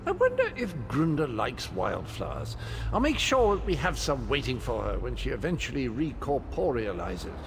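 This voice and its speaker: Wildly animated, cocky swordsman. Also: mid-range voice, normal speed, noisy background. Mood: wry, carefree. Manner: flippant, theatrical, wry. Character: cocky, whimsical male swordsman, jokey and carefree. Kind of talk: scripted video game dialogue.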